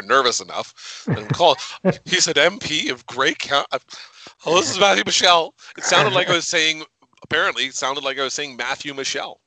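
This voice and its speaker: Very bright-voiced man telling stories. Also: mid-range voice, dry recording, rough recording. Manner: animated storyteller, laughs often. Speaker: podcast co-host. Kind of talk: casual podcast chat.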